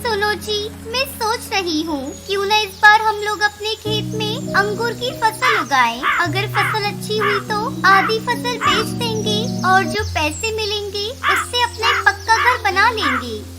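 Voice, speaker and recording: A woman voicing an anxious, earnest and gentle mother bird in a children's cartoon story, with a pleading manner. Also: extremely high pitch, measured pace, hardly any pauses, over loud music. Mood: hopeful.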